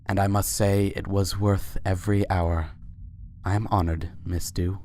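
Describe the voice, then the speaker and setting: A man voicing a suave, courtly pony Sultan who speaks formally and flatters without hurry in a fan fiction reading. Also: low voice, unhurried. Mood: courtly, flirtatious. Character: suave, courtly winged unicorn Sultan, adult male pony.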